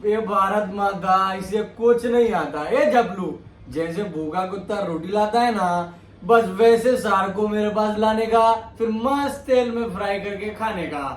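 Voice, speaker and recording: Man acting as a cranky comic old fellow, high-pitched, bossy Bombay slang, film comedy, echoey room with faint noise. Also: a little slow. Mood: exasperated, bossy. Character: grumbling comic old Bollywood buffoon with Bombay street slang.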